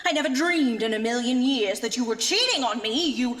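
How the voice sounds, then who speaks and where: A woman's voice: fairly high pitch, lively intonation, fairly quick, fairly bright tone. A woman playing a furious, scornful young fiancée, scolding theatrically in a comic horror audio drama. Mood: hurt, accusing. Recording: long reverberant room sound.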